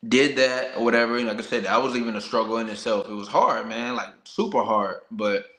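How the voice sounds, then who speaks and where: A man's voice: mid-range voice, fairly quick, a bit bright, slight background noise. An American man, a boxer being interviewed, telling his own story candidly in colloquial, casual speech with frequent 'you know' tags. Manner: candid, colloquial, peppered with 'you know what I'm saying'. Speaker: professional boxer, podcast guest. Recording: roomy, echoey sound.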